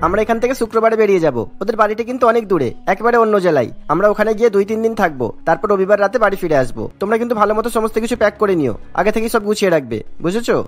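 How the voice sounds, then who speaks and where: A man's voice: high voice, animated, very fast, few pauses, soft background music. A man voicing a fussy, exasperated young husband who nags and instructs in a family cartoon. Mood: brisk, bossy. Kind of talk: Bengali cartoon voice acting.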